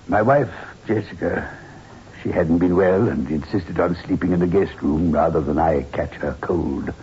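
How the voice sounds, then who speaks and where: Haunted old widower played by a man, low grave voice, courteous and foreboding, for vintage radio horror; muffled, noisy recording. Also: moderate pace, mellow, close to an everyday voice. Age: older. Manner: grave, courteous, hesitant storytelling. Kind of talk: 1960s radio horror drama acting.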